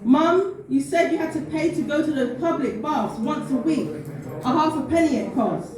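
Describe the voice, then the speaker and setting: A woman recites personal spoken-word poetry in a fairly high voice. Her delivery is deliberate and heartfelt. She sounds some way from the mic in a very reverberant room. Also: lively intonation, a little mellow. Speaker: poet. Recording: very echoey room, distant mic, faint noise.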